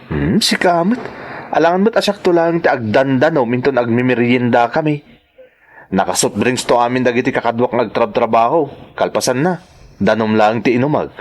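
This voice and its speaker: A man's voice: mid-range voice, moderate pace, natural voice. A man acting a stubborn working-class husband in an audio drama, with an Ilocano accent and a whining, cajoling manner. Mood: cajoling, complaining. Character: stubborn working-class husband, a construction laborer fond of soft drinks.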